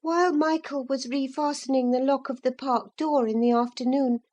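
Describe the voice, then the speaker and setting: A woman's voice: high voice, steady tone, moderate pace, a little mellow, pitched above the performer's own voice. A woman reading aloud and voicing an impulsive teenage girl who pleads breathlessly and earnestly. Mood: confessing.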